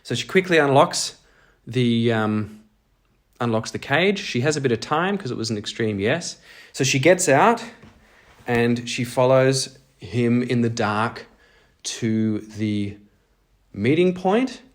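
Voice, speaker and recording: A man's voice: medium pitch, lively intonation, slow. A man hosting a solo role-playing audio drama, moving between scripted story narration and casual play-by-play of the game. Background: music.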